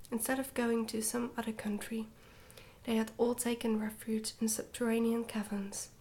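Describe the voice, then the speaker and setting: A woman reading a storybook in a soft-spoken ASMR style, slipping often into a whisper, with a gentle, hushed delivery. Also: on the high side. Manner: gentle, hushed delivery with some stumbles over words. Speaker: ASMR reader, channel host.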